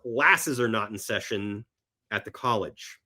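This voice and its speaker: A man's voice: fairly low pitch, a little slow, sharp tone. Man telling film stories with emphatic enthusiasm. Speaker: podcast guest. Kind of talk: enthusiastic casual film chat.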